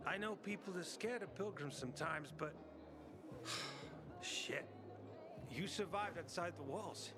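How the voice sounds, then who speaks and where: A male actor playing a hesitant, apologetic townsman in a video game, speaking in a halting, defensive way. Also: high voice. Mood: sheepish, defensive. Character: hesitant, apologetic townsman among wary survivors. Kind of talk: game cutscene acting. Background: music.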